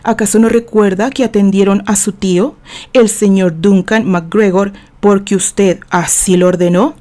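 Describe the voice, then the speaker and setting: Woman reading as a calm, incisive male lawyer. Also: mid-range voice, normal speed, natural voice. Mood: calm, pointed. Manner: composed, formal, pointed questioning.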